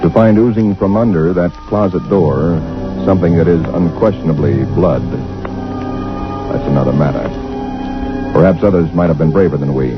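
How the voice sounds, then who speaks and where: A man playing a low-voiced jittery husband. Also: moderate pace, close to an everyday voice. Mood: grave narration. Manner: reassuring, then tense narration. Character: young husband in new house, jittery, dismissive. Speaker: narrator and lead character. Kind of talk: 1940s radio acting. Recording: dull old radio broadcast with music.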